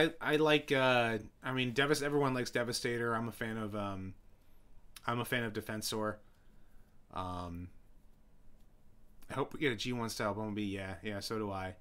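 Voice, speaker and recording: A young man hosting a casual live stream, rambling with many fillers and restarts as he answers viewers, his voice getting hoarse. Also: medium pitch, fairly even intonation, normal speed, bright, forceful tone. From New York City.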